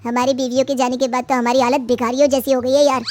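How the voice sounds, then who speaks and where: Woman playing a fast, high-voiced lazy son-in-law. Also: flat delivery, mellow, natural voice. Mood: eager. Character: lazy, greedy young son-in-law, flattering freeloader. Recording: music behind the voice.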